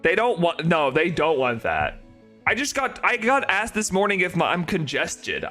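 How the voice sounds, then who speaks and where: A man with a nasal voice chatting casually on a live stream, rambling and excitable, with faint music behind him. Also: on the high side, normal speed. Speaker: shiny-hunting live streamer.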